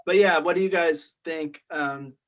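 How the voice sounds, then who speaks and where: A man's voice: on the high side, measured pace. A man casually leading a group discussion as its facilitator, putting questions to the others. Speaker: meeting facilitator.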